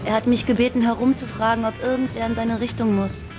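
An actress playing a brash, crude-mouthed colleague in a radio drama, blunt, casual and teasing. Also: female voice, on the high side, steady tone, quick pace, over music, lightly acted.

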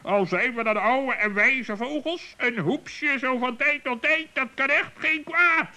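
A man playing a clever old male bird in a children's radio play, with a piercing voice and halting exclamations. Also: extremely high pitch, exaggerated voice. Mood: rueful. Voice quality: sharp, piercing.

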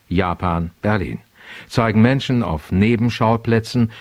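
A male radio announcer reads a scripted introduction in a measured, polished way. His voice is on the low side, recorded very dry with no room sound. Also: a little mellow, no echo.

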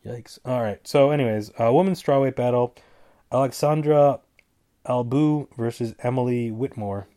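A man's voice: mid-range voice, moderate pace, mellow. A man hosting a casual talk show, rambling with many fillers and wry, offhand asides. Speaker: podcast host. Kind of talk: casual fight-prediction chat.

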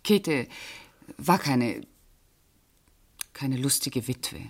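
A woman's voice: a bass voice, animated, extremely slow, heavily acted. An actress playing a precise, guarded woman witness answering questions in a German radio crime drama, with controlled delivery. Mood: earnest, guarded.

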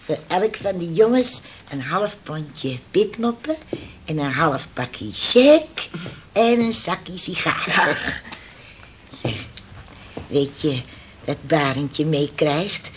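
Radio drama: bustling elderly mother, fairly low and slow-spoken, slight hiss. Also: expressive. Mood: fond. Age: 60s. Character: kindly, bustling 61-year-old mother of sailor sons. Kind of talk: Dutch radio play acting.

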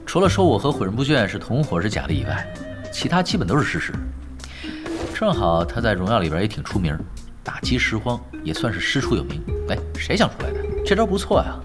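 Nonchalant, money-minded young pro gamer, hugely swooping delivery over music. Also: male voice, fairly low pitch, huge pitch swings, a little fast. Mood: amused, unbothered. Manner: nonchalant, teasing. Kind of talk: Chinese audio drama acting. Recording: music behind the voice.

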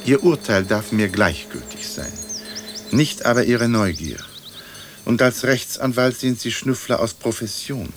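An actor playing a haughty, verbose old English lord's ghost in a German radio play, with pompous, formal speech. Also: male voice, medium pitch, lively intonation, measured pace, a little hiss or hum, natural voice. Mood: haughty, narrating.

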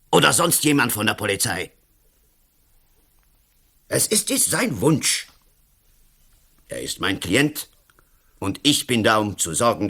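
An actor playing an overbearing, smug lawyer and politician in a radio play, pompous, blustering and condescending. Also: male voice, mid-range voice, fairly bright tone, slightly rough, full character voice.

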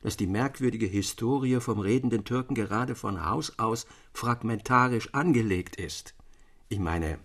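An actor playing a storyteller among literary friends, speaking in a measured, formal and expressive way. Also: male voice, lowish voice, breathy edge, dry recording, studio quality, exaggerated voice. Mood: calm, explaining. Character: male storyteller Theodor, literary friend reading his tales, defending fragments. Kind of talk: literary audio drama narration.

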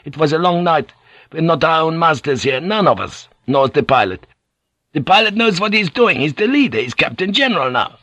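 Man acting a high-pitched, anxious seaman. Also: animated, fairly quick, bright, forceful tone, dry recording. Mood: soothing, weary. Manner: pleading, urgent questions. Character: anxious, pleading male merchant seaman.

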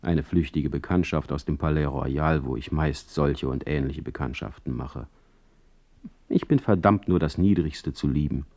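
An actor playing a witty, sardonic émigré poet who reads out his letter in a radio play with theatrical, deliberate irony. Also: a bass voice, a little mellow. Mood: mocking, self-pitying irony.

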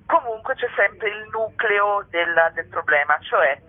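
A woman's voice: mid-range voice, expressive, a little slow, narrow, tinny audio. A woman speaking as an interviewed author, explaining politics in an articulate, argumentative way with ironic asides. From Italy.

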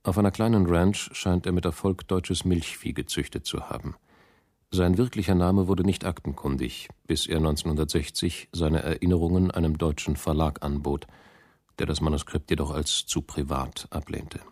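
A man narrating a radio drama in a sober, matter-of-fact, measured documentary style. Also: a bass voice, fairly even intonation, darkish tone, no echo, clean studio sound.

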